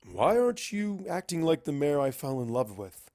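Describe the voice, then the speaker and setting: A man's voice: fairly high pitch, big pitch swings, normal speed, darkish tone, no echo, studio quality. A man voicing a blunt, challenging male pony scholar in a fan fiction reading. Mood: serious.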